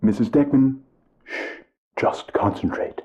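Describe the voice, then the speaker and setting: An actor playing a condescending, self-important tutor of the paranormal in a mystery game. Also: male voice, medium pitch, unhurried, dark tone, close to an everyday voice. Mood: patronizing. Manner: pompous, condescending. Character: smug male con-man tutor, middle-aged. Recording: tinny phone-like game audio.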